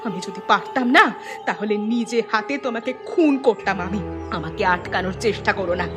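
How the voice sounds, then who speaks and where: A woman's voice: medium pitch, animated, fairly quick, over music, close to an everyday voice. A woman voicing an angry, bitter wife in a cartoon village drama, scolding and emphatic.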